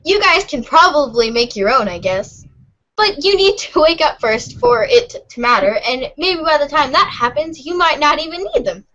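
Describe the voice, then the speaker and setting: A woman plays a very high-pitched teenage girl in amateur webcomic theater. She explains things patiently and casually, earnest yet cryptic. The recording is slightly muffled. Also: big pitch swings, moderate pace, sharp tone, exaggerated voice.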